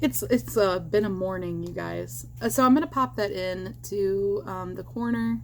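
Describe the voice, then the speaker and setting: A woman chatting casually while she teaches crochet at home, rambling with fillers and small laughs, her voice slightly hoarse because she is losing it. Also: medium pitch, moderate pace, a little hiss or hum. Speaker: crochet vlogger. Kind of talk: casual crochet tutorial chat.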